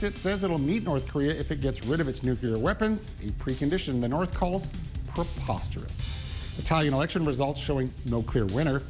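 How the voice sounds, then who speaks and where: A Canadian man presenting the local TV weather and news, reading with many "uh" fillers. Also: mid-range voice. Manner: lively delivery with frequent "uh" fillers. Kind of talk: live TV weather and news read. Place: local TV studio.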